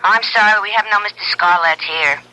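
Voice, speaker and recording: A woman's voice: medium pitch, brisk, pushed and bright, rough recording. An actress playing a polite company switchboard operator in a 1970s radio drama. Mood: polite, firm.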